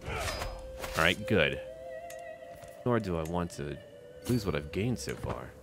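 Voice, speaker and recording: Male gaming creator, deep voice, casual in-character strategy commentary in clipped asides, faint music underneath. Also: very animated, slow, creaky. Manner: talks to himself and to game characters in short clipped remarks. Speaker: gaming video creator narrating his own playthrough.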